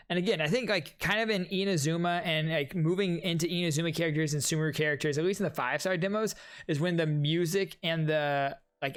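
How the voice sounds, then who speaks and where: A man's voice: on the high side, clear, resonant tone. A man giving informal, rambling reaction commentary on game trailers, musing as he goes.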